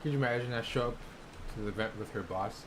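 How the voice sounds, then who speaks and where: A man's voice: mid-range voice, quick pace. Offhand male streamer over noisy game audio. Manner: offhand, swearing, short remarks. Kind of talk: casual play-along commentary. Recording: clear background game noise. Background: video game audio.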